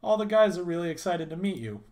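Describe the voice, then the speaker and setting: A man with a raspy voice, voicing an over-eager, cheerful suburban dad neighbor in a dating game. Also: high-pitched, sing-song, fast talker, darkish tone, pitched above the performer's own voice. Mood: cheery. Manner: beaming, over-eager welcoming.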